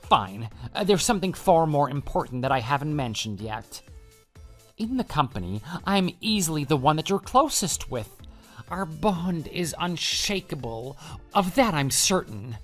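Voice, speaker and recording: A man's voice: on the high side, very animated, unhurried, fairly bright tone, soft background music. An actor playing a deluded, pushy male office coworker in love, making florid, earnest declarations in a scripted online drama. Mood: grandiose pleading.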